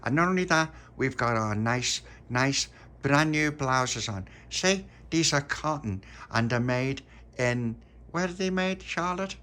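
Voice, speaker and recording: A man's voice: mid-range voice, expressive, a little slow, sharp tone, voice pushed up. A man voicing a prim, showy young girl in a storybook. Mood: proud. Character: proud, prim young girl in a new blouse.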